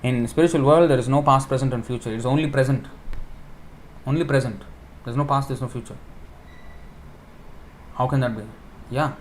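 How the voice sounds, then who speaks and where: A man at a mid pitch delivers a relaxed sermon and builds each point from simple everyday pictures. He breaks off with fillers and corrects himself as he goes. A faint ambient hum sits under the recording. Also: moderate pace, long pauses. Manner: explains with homely examples, stops to correct himself, thinks out loud. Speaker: preacher giving a scripture class. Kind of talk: informal sermon.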